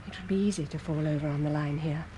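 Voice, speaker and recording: A woman's voice: low voice, steady tone, fast talker, noisy background, close to an everyday voice. A woman playing an anxious, suspicious wife, tense and questioning, in vintage radio drama.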